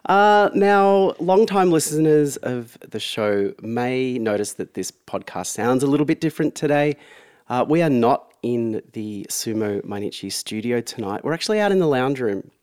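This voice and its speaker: A man's voice: mid-range voice, lively intonation, moderate pace, a little mellow, clean tone. A man hosting a podcast at home, chatting casually and wryly with a stuffy, blocked-up nose from a cold. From Australia. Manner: chatty, wry and enthusiastic. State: congested from a cold. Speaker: podcast host. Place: lounge room at home.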